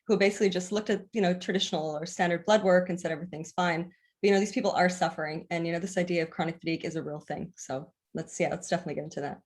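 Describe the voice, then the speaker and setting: Woman from the Bahamas, guest naturopath, earnest, quick pace, lots of fillers. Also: fairly low pitch, fairly even intonation, a little mellow. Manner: earnest, frequent filler phrases. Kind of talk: casual interview talk.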